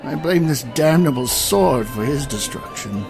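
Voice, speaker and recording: A man's voice: medium pitch, expressive, unhurried, creaky, darkish tone, soft background music. Man playing a stately, regretful immortal knight. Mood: melancholy. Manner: formal, stately, archaic phrasing. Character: weary immortal old warrior knight, honorable and regretful. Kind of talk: fantasy audio drama acting.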